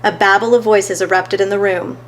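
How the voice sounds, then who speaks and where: A woman's voice: medium pitch, steady tone, a little fast, a little hiss or hum. A woman reading scripted narration of a children's story as a storyteller.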